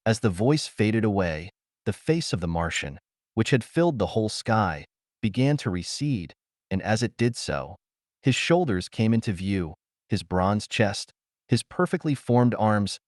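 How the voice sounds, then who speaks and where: Male audiobook narrator, low voice, very animated intonation, close dry sound, for pulp science fiction. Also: a little slow, dry recording.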